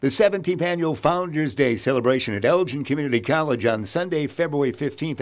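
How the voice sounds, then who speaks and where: A man narrating a scripted news voice-over in a steady, measured way. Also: mid-range voice.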